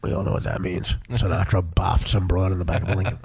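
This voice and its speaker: A man who works as a stand-up comedian, telling crude, rambling stories in a casual, profane, animated way. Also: low voice, fast talker, creaky, breathy edge.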